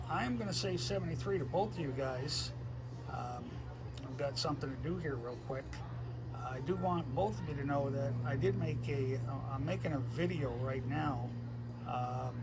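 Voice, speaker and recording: Man on ham radio, clearly noisy recording. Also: medium pitch. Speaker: amateur radio operator. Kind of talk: casual ham radio conversation. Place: outdoors on a house porch. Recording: noisy outdoor recording with ambience.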